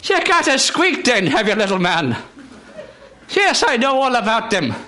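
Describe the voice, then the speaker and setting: High-pitched Irish country farmer. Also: male voice, sing-song, measured pace, pushed and bright, exaggerated voice. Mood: genial, rambling. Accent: stage Irish. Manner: folksy, rambling, teasing. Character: chatty genial country farmer on a horse cart. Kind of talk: live radio theatre. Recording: hissy live radio studio recording.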